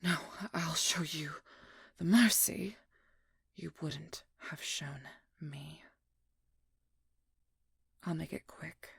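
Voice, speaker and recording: A woman playing a determined, wounded vampire hunter in an ASMR roleplay, with a breathy, husky voice that often drops near a whisper and an intimate, intense delivery. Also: deep voice, extremely slow, pauses often, no echo, clean studio sound, exaggerated voice. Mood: cold, anguished resolve. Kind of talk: ASMR roleplay audio drama.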